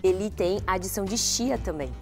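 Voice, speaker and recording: Brazilian woman explaining, mid-pitched voice. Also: brisk, soft background music. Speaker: guest company representative and cook. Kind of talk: conversational product explanation. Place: TV kitchen studio.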